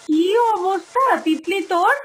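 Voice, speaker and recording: A woman's voice: very high-pitched, unhurried, fairly bright tone. A woman voicing a fretful village mother in a cartoon moral story, lamenting and admonishing in an exasperated way. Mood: exasperated scolding.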